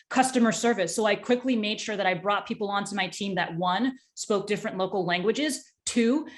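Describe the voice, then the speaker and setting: Candid, excitable woman answering interview questions. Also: mid-range voice. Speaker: tech startup executive, interview guest.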